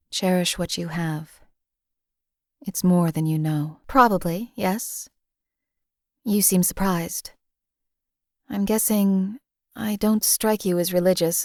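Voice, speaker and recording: A woman voicing a cold-blooded female assassin companion in a game, flat, clipped and detached. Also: on the low side, no room sound, studio quality.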